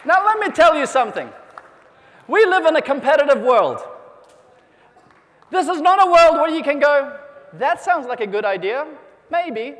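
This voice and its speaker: A man from New Zealand giving an energetic motivational keynote, rallying the audience with questions and calls for applause. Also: very high voice, normal speed, pauses often. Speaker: motivational speaker. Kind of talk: keynote speech to a convention audience. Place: large convention hall. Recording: long hall reverb.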